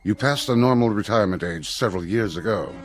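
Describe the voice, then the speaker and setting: An actor playing a formal, awkwardly tactful school headmaster in a radio drama, with a halting, stiffly polite delivery. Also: male voice, lowish voice, soft background music, close to an everyday voice. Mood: grave, uneasy.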